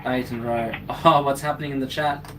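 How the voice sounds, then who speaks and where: A man's voice: medium pitch, steady tone, fairly quick. A man originally from Germany, working as a crane operator and chatting casually with viewers of his live stream in a joking, laid-back way. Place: crane cab. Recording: distant mic with clear background noise.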